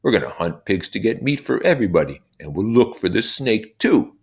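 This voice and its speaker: Man acting a bold, forceful boy hunter. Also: fairly low pitch, big pitch swings, brisk, gravelly, breathy edge, voice pushed up, exaggerated voice. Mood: bold, determined.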